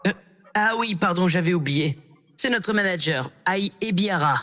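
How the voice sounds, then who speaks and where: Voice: medium pitch, moderate pace, fairly bright tone, close to an everyday voice. A performer in an anime fan dub playing an eager, sociable teenage boy who captains a school team. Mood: eager, pleading.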